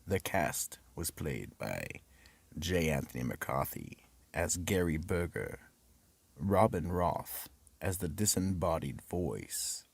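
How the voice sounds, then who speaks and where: A man's voice: low-pitched, creaky, slightly rough, no room sound. A man narrating and announcing a scripted radio horror drama with dramatic, ominous storytelling.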